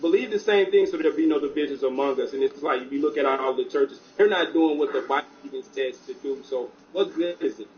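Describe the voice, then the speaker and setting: Loud passionate man on a call. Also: medium pitch, fast, few pauses, muffled recording. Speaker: guest. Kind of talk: online live-stream talk show.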